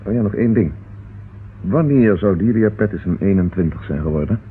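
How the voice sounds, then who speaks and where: A man playing a calm, courteous, methodical police inspector in a radio play, measured and probing. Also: fairly low pitch, slightly rough. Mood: businesslike. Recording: noisy, tinny old radio recording.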